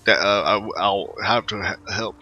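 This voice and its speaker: A man with a low but very sharp, bright voice narrates his game playthrough. He talks casually and haltingly, tripping over words, on slightly dull lo-fi audio. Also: steady tone, faint music behind. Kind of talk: casual game commentary, reading game text aloud.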